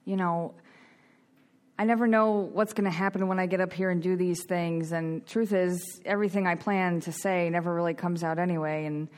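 A woman speaking candidly at a recovery meeting into a microphone, rambling a little and using fillers. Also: on the low side. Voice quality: loud voice. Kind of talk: personal share at a meeting. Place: meeting room. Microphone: microphone at a lectern.

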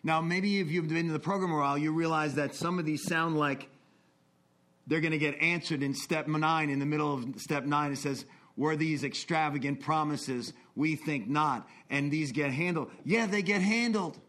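A man in his forties speaks with an earnest, confessional pull. His voice sits a touch higher than most men's, rising into intense bursts and dropping to hushed asides as he tells his story. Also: moderate pace. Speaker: recovery speaker. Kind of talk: personal testimony talk.

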